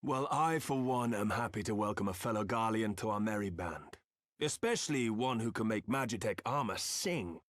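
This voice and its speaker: A man playing a genial, excitable airship engineer in a video game cutscene, with a friendly, courteous, expressive delivery. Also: on the low side, normal speed, dry recording, studio quality, natural voice. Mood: welcoming.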